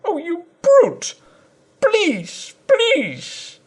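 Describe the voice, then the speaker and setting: A small woman in a furious temper, her voice climbing far above his own into shrill, wailing cries. She scolds without pause, near tears, drawing out her loudest words. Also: huge pitch swings, full character voice. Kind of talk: audiobook character reading.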